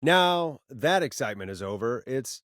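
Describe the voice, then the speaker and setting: Male actor playing late-night radio DJ, man, weary and sarcastic, lively swinging intonation, dry close studio sound, game dialogue. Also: medium pitch, normal speed, dry recording, close to an everyday voice. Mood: upbeat on-air patter. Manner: wry, exasperated, conversational. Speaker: radio DJ and call-in host. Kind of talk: video game voice acting, radio patter and banter.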